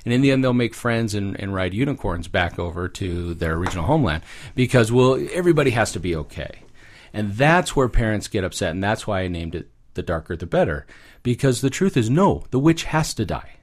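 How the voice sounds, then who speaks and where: A man's voice: fairly low pitch, very animated, fairly quick. A man speaking as a guest expert, explaining fairy tales in a conversational way with little acted asides. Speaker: guest expert, psychology professor.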